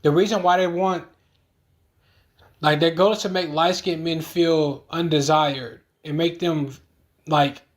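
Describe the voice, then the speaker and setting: Insistent man with a slightly high voice. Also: steady tone, a little slow. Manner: earnest, insistent. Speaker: online commentator. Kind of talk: opinionated reaction commentary.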